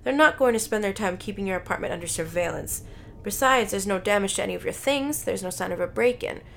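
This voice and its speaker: A woman's voice: mid-range voice, fast, vocal fry, fairly bright tone, a little hiss or hum. A woman voicing a dismissive, mocking young college friend in a story, sarcastic and skeptical.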